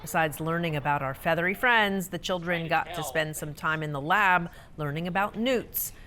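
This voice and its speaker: A woman's voice: sits low, moderate pace, sharp tone. A woman reporter reading scripted narration for local news stories.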